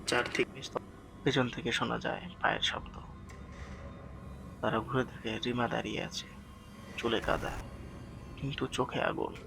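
A man's voice: mid-range voice, little pitch movement, moderate pace, creaky, long pauses, noisy background. A man narrating a scripted horror story in a dramatic, suspenseful way as the storyteller.

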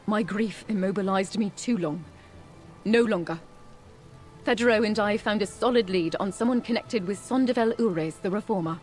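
An actress playing a solemn, grieving but resolute female ally in a video game, serious and deliberate. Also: mid-range voice, darkish tone, soft background music, lightly acted.

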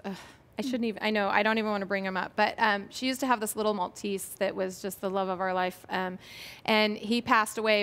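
A woman in her forties hosting a pet podcast, chatting in a friendly way with speeding and slowing pace, on a lapel mic in a bright studio. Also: mid-range voice. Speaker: podcast host. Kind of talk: casual chat.

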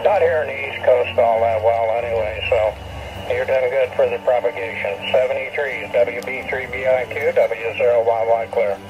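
Over a shortwave radio, an American man rambles haltingly in a casual amateur radio chat. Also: medium pitch, steady tone. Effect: shortwave radio transmission. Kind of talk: casual ham radio rag chew. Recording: noisy, muffled shortwave radio reception. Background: loud radio static.

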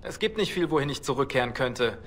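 A man's voice: mid-range voice, sing-song, fast talker, fairly bright tone. An actor voicing a nervous male outlaw in a video game, anxious and wryly complaining. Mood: gloomy, wry. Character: nervous male outlaw, fretful, fearful of hanging. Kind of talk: dramatic video game dialogue.

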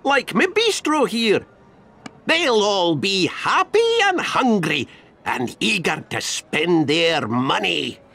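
A man voicing a canny, cheerful old cartoon duck businessman with a Scottish accent and a raspy voice. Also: sits high, big pitch swings, slow, pushed and bright, heavily acted. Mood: jovial, scheming.

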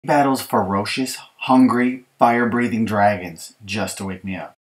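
A man's voice: lowish voice, normal speed, slight background noise, lightly acted. A man reading a children's picture book as an exasperated young girl complaining about her bratty little brother, emphatic and mock-aggrieved. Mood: dramatic complaint. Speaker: author reading his audiobook.

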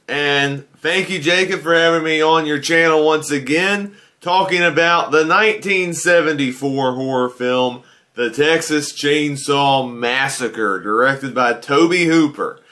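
Bright-voiced man reviewing a film. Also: on the high side. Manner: conversational, earnest, uses filler phrases like "you know". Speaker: guest movie reviewer. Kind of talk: casual spoken film review. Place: indoors in front of a green-screen backdrop.

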